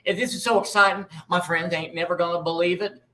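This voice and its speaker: A man playing an excitable, star-struck small-town fellow in a comedy table read, folksy and gushing. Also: sits high, fast, slight background noise. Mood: excited, star-struck.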